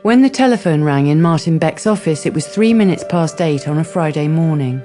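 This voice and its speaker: A woman's voice: low-pitched, expressive, a little fast, dark tone, faint music behind. A woman narrating a radio drama from a script.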